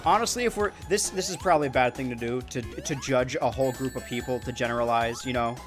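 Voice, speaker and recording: A young American man with a monotone voice, chatting loosely while streaming a video game, rambling with many restarts. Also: mid-range voice, fast, soft background music. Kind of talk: casual gameplay chat.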